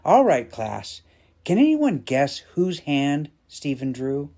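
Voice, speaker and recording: A man's voice: a little high, huge pitch swings, slow pace, dark tone, voice pushed up, full character voice. A man voicing a kind, patient female primary school teacher in a storybook reading, encouraging the pupils.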